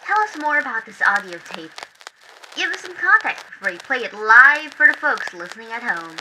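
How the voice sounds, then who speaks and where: Actress for poised female 1920s radio host: very bright, swooping intonation, measured and formal, slightly noisy broadcast sound. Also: a little high, sing-song, heavily acted. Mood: poised, curious. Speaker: radio interviewer. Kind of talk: scripted broadcast interview.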